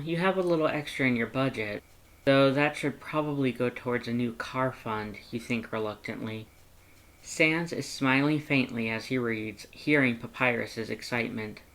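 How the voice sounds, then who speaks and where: A man reading a story aloud as an audiobook narrator, in a measured, gentle manner. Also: medium pitch, poor audio. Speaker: fan fiction audiobook narrator.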